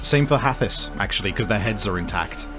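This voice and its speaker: A man's voice: lowish voice, animated, a little fast, music in the background. A man acting as game master, casually working through rules and improvising scene narration, piecing his thoughts together aloud in a hesitant way.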